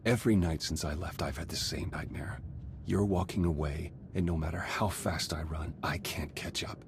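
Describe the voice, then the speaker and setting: Game role: nervous, earnest spy, deep register, hesitant and heartfelt, clearly noisy background. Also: male voice, steady tone. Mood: pained, remorseful. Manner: halting, sincere. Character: earnest, remorseful male spy, nervous and lovestruck. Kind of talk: video game cutscene acting.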